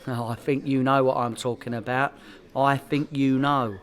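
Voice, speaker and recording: An actor playing a hopeless petty criminal posing as a gangster in a comedy radio play, with a London accent and a self-important, evasive manner. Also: male voice, medium pitch, fairly even intonation, moderate pace, close to an everyday voice.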